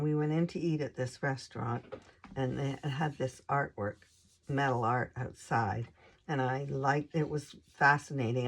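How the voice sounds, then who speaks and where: A hoarse woman hosting a craft channel, casually showing her scrapbook pages in an unhurried, hesitant way with lots of ums. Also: very deep voice.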